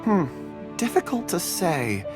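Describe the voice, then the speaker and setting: A woman's voice: lowish voice, big pitch swings, takes it slowly. A woman playing an earnest, thoughtful young wanderer in a video game, polite and musing. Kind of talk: video game character acting. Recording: game music behind the voice. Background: game music.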